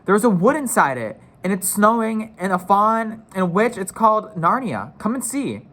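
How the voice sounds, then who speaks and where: A man voicing an earnest, excited young girl who speaks with pleading emphasis in a storybook read-aloud. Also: high-pitched, normal speed, pitched above the performer's own voice. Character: earnest, excited young girl Lucy, insisting she's truthful.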